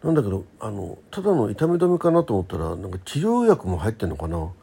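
Guy with a really dark husky voice, chatting solo on his radio show, kinda rambly, pitch moves around a lot. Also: very animated. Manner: halting, rambling, self-correcting. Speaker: internet radio host. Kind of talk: casual solo chat.

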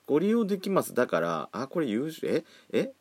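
A man's voice: medium pitch, huge pitch swings, fairly quick, a little mellow, dry recording. A man around forty hosting a casual solo podcast, chatting with campy, feminine phrasing. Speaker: podcast host. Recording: faint background music.

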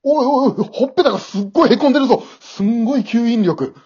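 A man's voice: extremely high pitch, fast, darkish tone, slightly rough, highs cut off. A man acting in an amateur radio drama as an awkward, remorseful middle-aged father, hesitant and easily flustered. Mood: astonished.

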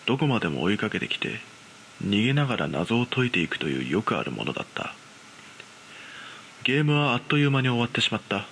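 Horror radio drama lead: man playing an ordinary, frightened young guy, measured suspenseful narration, forceful tone, faint hiss. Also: medium pitch. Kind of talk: dramatised ghost story narration.

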